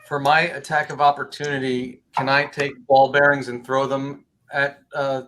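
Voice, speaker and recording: A man's voice: medium pitch, flat delivery, normal speed, fairly bright tone, rough recording. A man casually describing his moves as a player in a tabletop game.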